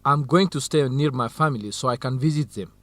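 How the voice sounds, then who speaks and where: A man's voice: medium pitch, brisk, fairly bright tone, no echo, lightly acted. An actor playing an earnest young male university student in love, speaking carefully in a radio drama for English learners. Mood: sincere, determined.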